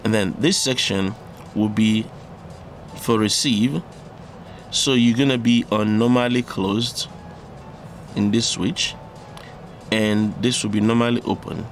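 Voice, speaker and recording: A man presenting an unscripted electronics tutorial, explaining step by step in a halting way. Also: lowish voice, fairly even intonation, faint music behind. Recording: clearly audible background noise.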